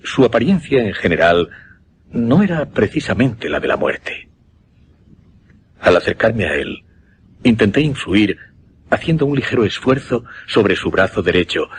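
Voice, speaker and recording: A grave Castilian mesmerist in a suspense radio play. His deep voice moves expressively, with deliberate pauses that build dread. The recording has clear background noise. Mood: measured, suspenseful. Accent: Castilian Spanish. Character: grave mesmerist recounting an uncanny hypnosis case. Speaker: narrator-protagonist. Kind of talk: Spanish suspense radio drama storytelling. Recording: noisy radio drama recording.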